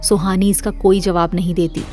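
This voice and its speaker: Low, even-toned woman narrating horror. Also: moderate pace, faint music behind. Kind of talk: scripted horror story narration.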